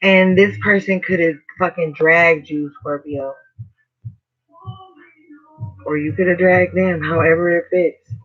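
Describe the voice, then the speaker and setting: A man with a hoarse, raspy voice giving a casual, slangy tarot reading as host. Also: fairly high pitch, fairly even intonation, normal speed, a bit bright, faint music behind. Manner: slangy, chatty, swears casually. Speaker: tarot reader. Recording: dull lo-fi recording.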